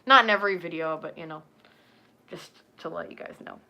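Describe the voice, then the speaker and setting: A woman's voice: on the low side, a little fast, muffled recording. A young woman chatting casually on a livestream, rambling with lots of fillers and talking straight to her viewers. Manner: rambling, filler-heavy, talks to chat. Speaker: furry livestreamer.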